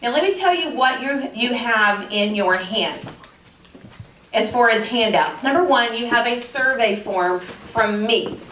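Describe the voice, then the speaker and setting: A woman's voice: fairly high pitch, lively intonation, a little slow, slight background noise. A woman, giving a friendly, chatty talk to parents as a professional organizer. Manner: friendly, chatty, says she is naturally loud. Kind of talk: casual presentation to parents. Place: school event room. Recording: echoey room from far off.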